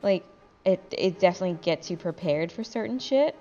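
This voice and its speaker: A woman's voice: lowish voice, lively intonation, brisk, mellow. A very tired woman chatting casually with friends while hosting a gaming video, rambling and swearing informally. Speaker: gaming video host and player. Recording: dull lo-fi voice chat, faint music.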